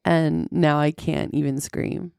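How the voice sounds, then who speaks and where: Creaky, congested woman, unhurried. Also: steady tone, darkish tone, no room sound, clean studio sound. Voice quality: deepened by a sinus infection, with vocal fry. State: congested from a sinus infection. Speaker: podcast host. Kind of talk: casual chat.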